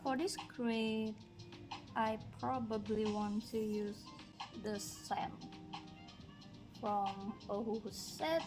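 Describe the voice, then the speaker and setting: A woman from Indonesia, speaking English as a second language, chatting slowly and musingly as she colors on a livestream. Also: mid-range voice, steady tone. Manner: slow, musing, talks while working, light laughs. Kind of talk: relaxed coloring livestream chat. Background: background music.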